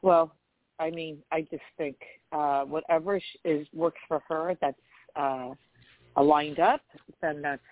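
A woman's voice: low-pitched, steady tone, a little slow, no room sound. A woman co-hosting a casual radio chat, bantering with exclamations and frequent restarts. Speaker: co-host. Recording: tinny phone-like dry line.

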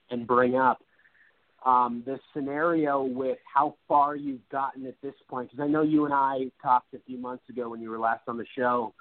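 A man's voice: medium pitch, no room sound. A male talk show host interviewing a guest in a casual, rambling, effusive way, often restarting his sentences. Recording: dry, phone-like sound.

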